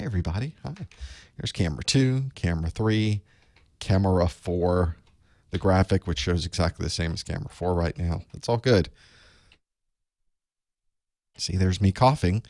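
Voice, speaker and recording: Low-voiced male instructor, a little raspy from a cold, loose and chatty with self-mocking asides. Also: a little mellow, no room sound, studio quality. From United States. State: chest cold, a bit raspy. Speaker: online course instructor hosting live study group. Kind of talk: casual live-stream chat.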